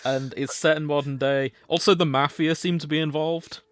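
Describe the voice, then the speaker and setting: A man's voice: fairly high pitch, steady tone, moderate pace, no room sound. A man hosting a podcast, chatting in a casual, comedic way. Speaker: podcast host.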